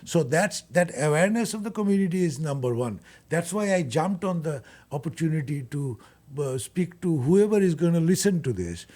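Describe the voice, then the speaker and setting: A man with a Bangladeshi accent speaks in a fairly high, noticeably husky voice. He explains things earnestly as an interview guest, stumbling and doubling back on his words as he goes. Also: lively intonation, dark tone. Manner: halting, repeats words, earnest. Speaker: guest, charity furniture bank leader.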